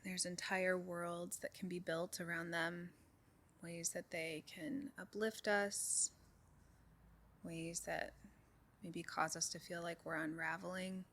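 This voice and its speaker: A woman leading a guided meditation in a calm, soothing way, giving soft instructions with unhurried pauses. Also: on the low side. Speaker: meditation group leader.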